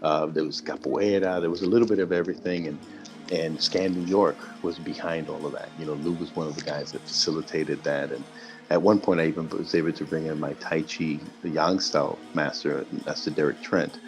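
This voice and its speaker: A man's voice: rumbling low, fairly even intonation, brisk, faint music behind. A man from Puerto Rico with a Puerto Rican accent and Spanish as his first language, telling his story as an interview guest in an earnest, meandering way with many restarts. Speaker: guest martial artist. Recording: muffled lo-fi audio.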